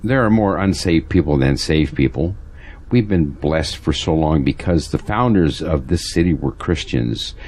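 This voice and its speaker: A resigned, pragmatic churchgoing man, with a deep voice that moves up and down a lot. He ponders things matter-of-factly, in audio drama style. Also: animated, unhurried, a little mellow, a little hiss or hum. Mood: grave, resigned.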